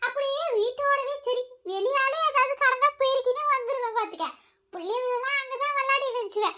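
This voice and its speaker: A woman's voice: very high-pitched, steady tone, a little fast, bright, forceful tone. Woman playing a scolding older village woman. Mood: lecturing. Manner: scornful, lecturing.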